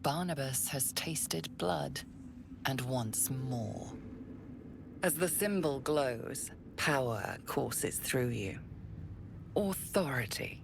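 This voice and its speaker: A woman voicing a calm omniscient game narrator, speaking in a measured, hushed, ominous way. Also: rumbling low, vocal fry, background noise, heavily acted. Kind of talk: scripted second-person narration.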